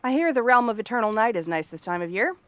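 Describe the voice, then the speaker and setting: A woman's voice: on the high side, big pitch swings, fast, a bit bright, no echo. A woman playing a cranky exiled princess turned frog girl in a fantasy ASMR roleplay, haughty, sarcastic and theatrical. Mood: grudgingly conceding. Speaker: voice actress.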